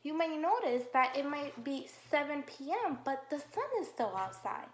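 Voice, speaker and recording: A woman teacher reading a picture book aloud to young children, slow and encouraging, with drawn-out questions to the class. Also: high voice, expressive. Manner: slow, encouraging, exaggerated sing-song questioning. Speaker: kindergarten teacher. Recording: roomy echo.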